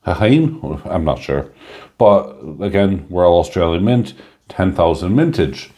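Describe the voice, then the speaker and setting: A man chatting casually through a coin review, rambling with lots of fillers and a slightly hoarse voice. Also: deep voice, lively intonation, slow pace, dark tone.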